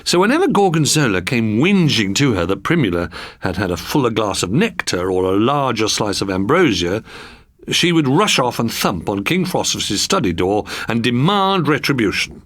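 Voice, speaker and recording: An Englishman narrating a children's story in a wry, expressive storytelling manner. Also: medium pitch, moderate pace, no echo, studio quality. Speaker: storybook reader.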